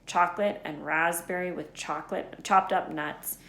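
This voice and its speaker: A woman's voice: fairly low pitch, fairly even intonation, moderate pace, a bit bright. A woman narrating a children's book aloud as a storyteller. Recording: echoey room.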